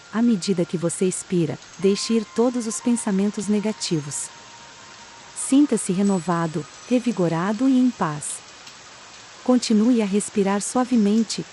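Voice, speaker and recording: A woman with a Brazilian accent calmly guides a relaxation meditation, reading in a soothing, unhurried manner with pauses between phrases. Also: medium pitch. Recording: steady rain sound behind the voice. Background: soft rain.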